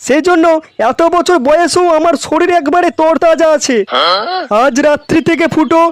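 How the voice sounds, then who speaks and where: A man voicing a crude, bossy older father-in-law in a comic cartoon, wheedling in a pitched-up voice. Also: fairly even intonation, normal speed. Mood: bossy, scolding. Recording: music behind the voice.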